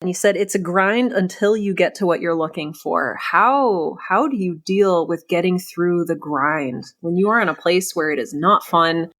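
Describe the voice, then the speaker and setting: Lower-pitched woman, encouraging podcast interviewer. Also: normal speed, few pauses, a bit bright. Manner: encouraging, rephrases her questions as she goes. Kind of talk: conversational interviewing.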